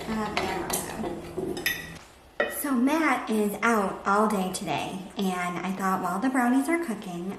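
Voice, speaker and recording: A woman chatting casually as host of a home cooking vlog while she demonstrates things. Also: mid-range voice. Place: indoors at home, kitchen. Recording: echoey room with background noise.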